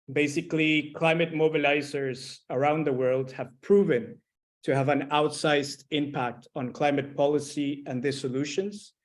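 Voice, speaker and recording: Man presenting, mid-pitched voice. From Costa Rica. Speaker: guest panelist, co-founder of a climate platform. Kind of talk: explanatory webinar presentation.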